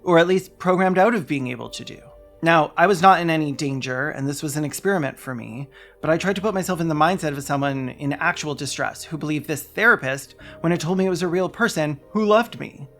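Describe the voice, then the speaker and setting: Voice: mid-range voice, fairly quick, a bit bright, faint music behind. A video essayist delivering scripted comedic commentary in a sardonic, theatrical way with dry asides.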